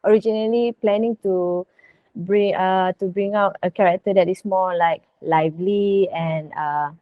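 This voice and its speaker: A woman talks slowly as an interview guest. Her delivery is casual and hesitant, in a mid-range voice recorded dry with little room sound. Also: mid-range voice, fairly even intonation, no echo, phone-quality sound. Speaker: voice actor, podcast guest.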